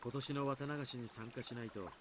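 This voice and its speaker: A man's voice: medium pitch, steady tone, racing, background noise, natural voice. An actor voicing an easygoing, casual adult man in a mystery game. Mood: teasing, measured.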